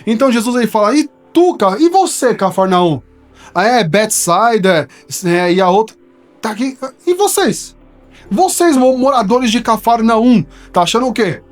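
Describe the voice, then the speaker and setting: Paulistano preacher teaching over soft music. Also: male voice, high voice, very animated, normal speed. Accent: São Paulo Portuguese. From São Paulo, Brazil. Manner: conversational, checks in with "tá?" and "ok?". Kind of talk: verse-by-verse Bible teaching. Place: studio.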